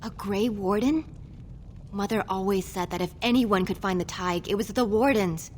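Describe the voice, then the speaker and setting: A woman's voice: mid-range voice, moderate pace, slight background noise, close to an everyday voice. An actress playing a shy, hopeful young female dwarf scholar in a fantasy video game, earnest and apologetic. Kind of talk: video game character acting.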